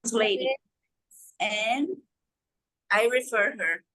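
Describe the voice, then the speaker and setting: A woman with a Brazilian accent, a native Portuguese speaker, informally introducing and translating in halting non-native English. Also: mid-range voice, a bit bright, low-quality recording. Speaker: participant translating for a guest.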